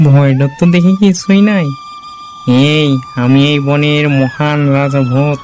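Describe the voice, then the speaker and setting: A man's voice: a little high, a little slow, a little mellow, a little hiss or hum, natural voice. A man voicing a boastful cartoon ghost of the forest, blustering and self-important. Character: boastful male forest ghost trying to be scary. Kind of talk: cartoon character dialogue.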